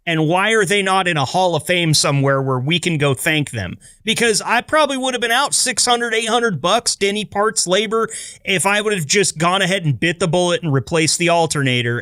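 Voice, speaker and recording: Guy w a slightly high voice, podcast host type, chatty storytelling, full of amazement, dry close sound. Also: a bit bright, no echo. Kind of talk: casual conversational storytelling.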